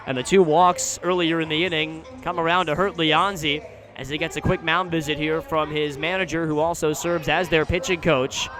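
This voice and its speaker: A man's voice: fairly high pitch, soft background music. An American man calling baseball play-by-play for radio, describing each moment in detail in a live outdoor broadcast. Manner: measured description, excited on big plays. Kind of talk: live sports commentary. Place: outdoor ballpark broadcast position.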